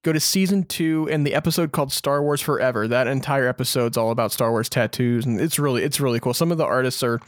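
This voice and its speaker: A man talks in an informal, enthusiastic way, like a fan among friends on a podcast. His voice sits at a medium pitch, and the sound is dry with very little room in it. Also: fast talker, hardly any pauses, no room sound. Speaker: podcast co-host. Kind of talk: casual fan podcast chat.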